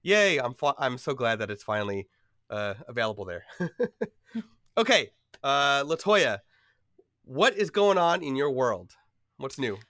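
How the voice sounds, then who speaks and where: A man's voice: mid-range voice, big pitch swings, a little slow, pauses often, pushed and bright, dry recording, studio quality. Congested man hosting a podcast. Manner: chatty, filler-heavy, laughs often. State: nasal from a cold. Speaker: podcast host. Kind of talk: casual podcast chat.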